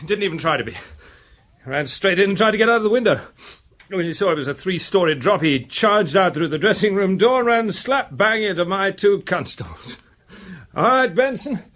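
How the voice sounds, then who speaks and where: High-voiced English police detective. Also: male voice, lively intonation, normal speed, a bit bright. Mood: amused, brisk. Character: affable, down-to-earth male police detective. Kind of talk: radio drama acting.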